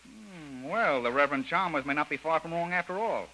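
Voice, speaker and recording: Fast, forceful jungle adventurer Jungle Jim. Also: male voice, on the high side, expressive, poor audio. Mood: curious, probing. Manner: brisk, take-charge. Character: Jungle Jim, brisk commanding adventurer hero, man. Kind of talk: 1930s radio serial acting. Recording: old radio recording with faint noise.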